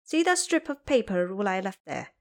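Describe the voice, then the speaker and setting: A woman's voice: medium pitch, big pitch swings, moderate pace. Woman voicing a dutiful male disciple. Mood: respectful.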